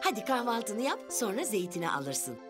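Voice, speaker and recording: Bir kadın, çizgi filmde şefkatli, nazikçe uyaran bir anne kuşu seslendiriyor. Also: female voice, mid-range voice, very animated, normal speed, close to an everyday voice. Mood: caring. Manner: nurturing, reassuring. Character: caring, gentle mother bird, mildly cautioning. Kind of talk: animated cartoon acting. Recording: loud music under the voice.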